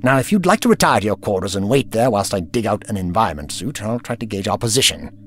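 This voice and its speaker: An actor playing an imperious, irritable time-travelling alien doctor in an audio drama, with a pompous, scolding, professorial manner. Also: male voice, fairly low pitch, animated, fast, fairly bright tone, a little hiss or hum.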